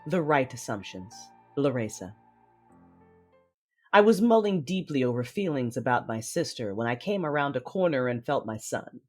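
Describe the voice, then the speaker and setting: A man playing a guarded, commanding Sith lord in a sci-fi audio drama, narrating inwardly in a husky voice. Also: medium pitch, sing-song, moderate pace, darkish tone, faint music behind. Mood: brooding, controlled. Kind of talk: first-person audio drama narration and dialogue.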